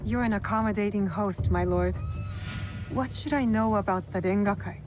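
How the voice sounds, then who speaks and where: A woman's voice: medium pitch, moderate pace, over music, natural voice. Guarded, wry young shinobi woman played by an actress. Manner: cool, direct, restrained. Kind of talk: video game cutscene acting.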